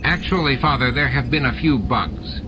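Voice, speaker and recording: A man's voice: medium pitch, lively intonation, normal speed, background noise, voice pushed up, lightly acted. A man voicing a cowardly, obsequious alien son who fawns on and placates his father in an audiobook. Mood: nervous, placating. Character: fawning, cowardly alien son and henchman, eager to please. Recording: dull lo-fi audiobook with music.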